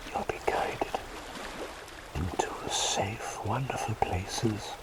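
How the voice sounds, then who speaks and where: A man playing a gentle, doting plague doctor in an ASMR roleplay, speaking in soothing, slightly formal, breathy whispers. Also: lowish voice, slow pace. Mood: soothing, lulling. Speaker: ASMR performer.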